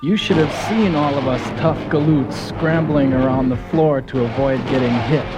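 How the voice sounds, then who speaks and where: A man's voice: medium pitch, moderate pace, natural voice, low-quality recording. A man playing a folksy, gung-ho soldier who narrates his team's mission in a scripted audio drama. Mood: earnest storytelling. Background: music.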